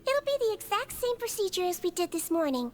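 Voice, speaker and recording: Small caring creature head nurse, game; very high, soft, patient delivery. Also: female voice, very animated, brisk, a little hiss or hum. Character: tiny gentle female creature nurse, caring. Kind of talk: video game voice acting. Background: game music.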